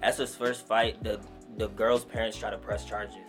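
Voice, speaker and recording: A man in his twenties from Nigeria, a guest rapper telling stories in relaxed, slangy casual talk. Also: fairly low pitch, normal speed, music in the background. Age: 20s. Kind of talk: casual podcast interview.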